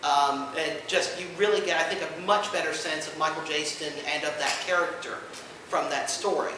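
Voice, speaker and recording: A man giving an informal panel presentation to an audience, an enthusiastic explainer who speaks haltingly with many asides. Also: fairly high pitch, steady tone. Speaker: convention panelist presenting audio drama releases. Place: indoor convention panel room. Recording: echoey room from far off, noisy.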